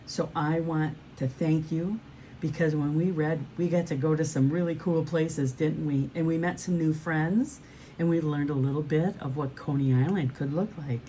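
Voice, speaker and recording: A woman's voice: low-pitched, steady tone, normal speed, dark tone, a little hiss or hum, rough recording. A woman reading a children's storybook aloud as host, encouraging and turning to her young listeners with questions.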